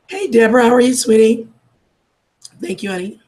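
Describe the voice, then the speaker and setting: A woman, losing her voice from a cold, chatting warmly with viewers on a live stream and calling them darling and sweetheart. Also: on the high side, expressive, moderate pace. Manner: affectionate, calls everyone darling and sweetheart. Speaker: livestream host. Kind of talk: casual live chat greeting viewers.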